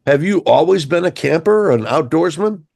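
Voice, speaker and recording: A man's voice: a little high, lively intonation, normal speed, creaky, darkish tone, no room sound. Upbeat male show host, dry sound. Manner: upbeat, with frequent "uh" fillers. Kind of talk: interview show hosting.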